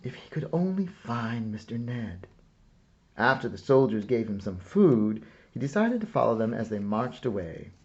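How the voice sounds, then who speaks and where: A man narrating a children's storybook aloud in a measured, deliberate way with pauses. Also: medium pitch, big pitch swings, highs cut off. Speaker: storyteller reading a picture book aloud.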